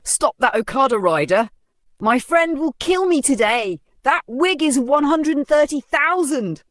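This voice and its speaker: A vain, theatrical young woman from a comic folktale, acted out by a female storyteller. She speaks high, boastful and pleading, in a dry recording. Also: lively intonation, unhurried, no echo. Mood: panicked. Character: vain, dramatic young woman craving online glory.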